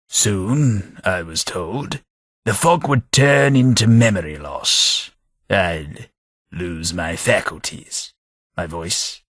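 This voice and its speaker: An actor with a British accent playing a weary old ghoul man for a video game, speaking in a measured, formal way with dry wit and a husky voice. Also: sits low, a bit bright, dry recording, full character voice. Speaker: game character. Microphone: close studio mic.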